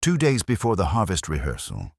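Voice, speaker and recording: A man voicing a gentle, wry, probing police inspector in a detective drama, speaking in measured, pointed phrases. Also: low-pitched, huge pitch swings, vocal fry, husky, no room sound, pitched above the performer's own voice, exaggerated voice. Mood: matter-of-fact. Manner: measured, dry, pointed questioning.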